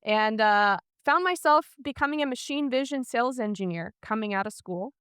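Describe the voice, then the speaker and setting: A woman's voice: fairly high pitch, expressive, normal speed, fairly bright tone, smooth, dry recording, studio quality. A woman from Iceland speaking as a podcast guest, telling her career story in a candid, chatty way.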